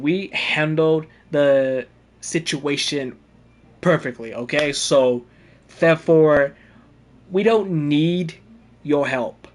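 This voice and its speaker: A man reacting casually to a game as he streams, informal, halting and repeating himself as he talks back. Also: on the high side. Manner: informal, halting and repetitive when arguing back. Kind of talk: casual live gameplay reactions. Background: game music.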